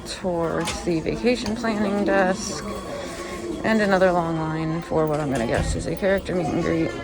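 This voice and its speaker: A woman's voice: lowish voice, moderate pace, loud music behind the voice. A woman walking through a cruise ship, filming and chatting as she points out each spot. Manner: chatty guide pointing things out. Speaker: vlogger giving a ship tour. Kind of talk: walking tour narration.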